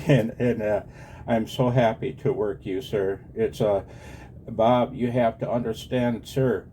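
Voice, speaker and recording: American guy in his 60s, deep-ish relaxed voice, chatting on ham radio, earnest and deferential. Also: slight background noise, rough recording. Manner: earnest and deferential, often saying sir. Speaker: amateur radio operator. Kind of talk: casual two-way radio conversation.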